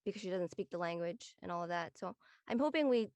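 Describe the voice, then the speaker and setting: A woman's voice: fairly low pitch, animated, fast, a little mellow, no room sound. A woman from Southern California, chatting casually as a cast member. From Southern California, USA. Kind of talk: casual chat.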